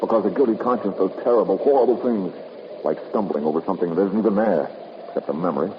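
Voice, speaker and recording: An actor with an American accent playing a tough, sardonic investigator in a 1950s radio drama, clipped and accusing. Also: male voice, low-pitched, quick pace, close to an everyday voice. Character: tough, wisecracking male private investigator. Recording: noisy, muffled 1950s radio recording.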